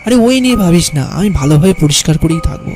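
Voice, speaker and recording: A male actor voices a stubborn, easygoing young poet narrating his horror cartoon. His tone is mellow and dark-edged, pitched a little high for a man, with a casual delivery. Music runs behind him. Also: animated, fast talker, lightly acted. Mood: curious, dismissive. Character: carefree, stubborn young city poet telling his tale. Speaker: narrator and lead character. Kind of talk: first-person story narration and cartoon dialogue.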